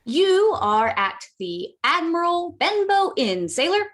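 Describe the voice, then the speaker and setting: Woman as a timid teen boy, high bright voice, hesitant, radio drama. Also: very animated, slow, full character voice. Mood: curious. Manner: hesitant, earnest. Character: timid, anxious fourteen-year-old boy. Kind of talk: radio play reading.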